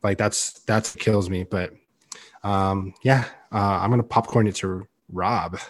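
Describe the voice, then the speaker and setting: A young man on a podcast, talking earnestly and loudly in a casual chat, with many "like"s. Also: deep voice, fairly even intonation, a little slow. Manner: loud, earnest, heavy use of "like". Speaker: podcast co-host.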